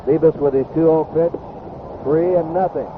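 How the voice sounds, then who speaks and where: A man's voice: on the high side, fairly even intonation, moderate pace. A man calling live baseball play-by-play as an announcer on an old radio broadcast. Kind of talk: live sports play-by-play commentary. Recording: noisy tinny vintage 1970s radio broadcast.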